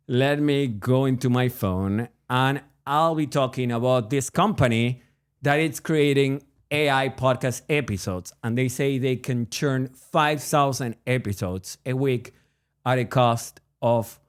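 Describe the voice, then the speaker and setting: A man's voice: mid-range voice, clear, resonant tone. A man from Argentina with an Argentine Spanish accent, co-hosting a podcast in a casual, joking, rambling chat. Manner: joking, rambling, frequent restarts and laughs. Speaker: podcast co-host.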